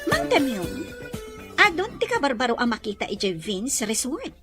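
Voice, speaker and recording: Woman acting a very high-pitched haughty madam. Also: wildly swooping pitch, normal speed, over music. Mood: bossy. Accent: Ilocano Filipino. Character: haughty, sharp-tongued female resort owner, bossy. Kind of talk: Ilocano audio drama acting.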